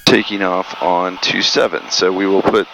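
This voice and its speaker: A man's voice: deep voice, fairly even intonation, normal speed, gravelly. A man working as a pilot, talking through procedures and radio calls in a matter-of-fact way on a headset. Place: small airplane cockpit. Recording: noisy small-plane cockpit, engine hum.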